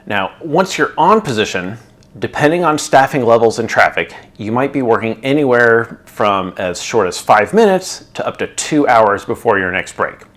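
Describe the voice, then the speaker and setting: A middle-aged man hosting his channel, narrating a scripted explainer in a clear, instructional way. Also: mid-range voice, expressive, normal speed, fairly bright tone. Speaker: channel host explaining air traffic control.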